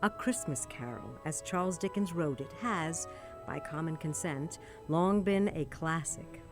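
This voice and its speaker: A woman announcer reading a scripted radio introduction in a measured, formal way. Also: sits low, music in the background.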